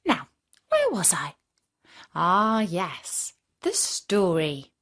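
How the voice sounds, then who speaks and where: A woman's voice: lowish voice, huge pitch swings, drawn-out words, a bit bright. A woman storyteller hosting, giving a playful, chatty scripted aside to young listeners. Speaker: storyteller and host.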